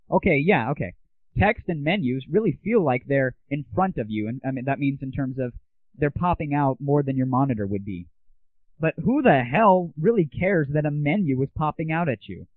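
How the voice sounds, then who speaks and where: A man's voice: medium pitch, no room sound, phone-quality sound. A man hosting a podcast, giving a blunt, sarcastic review and swearing freely. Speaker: podcast host. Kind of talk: ranting gadget review.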